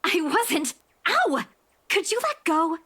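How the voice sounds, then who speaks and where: A woman's voice: extremely high pitch, very animated, slow, pushed and bright, exaggerated voice. An actress playing a desperate, stubborn young woman in a visual novel game, urgent and insistent as she pleads. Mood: defensive. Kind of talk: dramatic visual novel voice acting.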